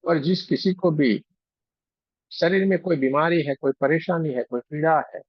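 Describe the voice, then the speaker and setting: A man guiding a meditation in a gentle, unhurried, devotional way, giving instructions phrase by phrase with long pauses. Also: fairly high pitch, no room sound, highs cut off. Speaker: meditation guide and Reiki teacher. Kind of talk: guided meditation, slow spoken instruction with pauses.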